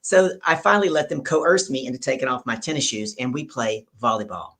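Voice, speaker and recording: A woman's voice: rumbling low, animated, brisk, fairly bright tone. A woman hosting an online show, chatty and upbeat while telling stories. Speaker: host. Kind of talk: casual chat and storytelling.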